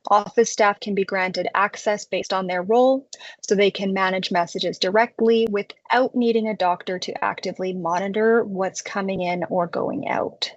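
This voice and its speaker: Female software tutorial narrator, medium pitch, conversational explainer delivery, dry close-mic sound. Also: steady tone, normal speed, no echo, lo-fi sound. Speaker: software demo presenter. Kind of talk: scripted product tutorial narration.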